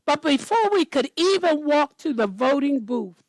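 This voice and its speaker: A woman storyteller reading a children's picture book aloud in a deliberate manner. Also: sits high, sing-song, a little mellow, dry recording, poor audio.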